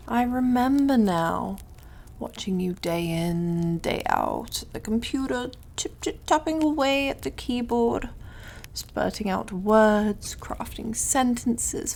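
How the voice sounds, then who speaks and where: Woman acting a slow, silky, mocking devil. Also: on the high side, creaky, a little mellow, exaggerated voice. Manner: theatrical, drawling, over-polite, taunting. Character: suave, mocking, condescending devil who deals in soul contracts. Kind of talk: ASMR roleplay monologue.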